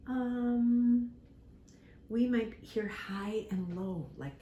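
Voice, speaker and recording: A woman giving a simple lesson to young children, speaking deliberately, repeating key words and acting out examples. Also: a little high, highs cut off. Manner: animated, acts out examples with props. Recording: echoey room with faint background noise.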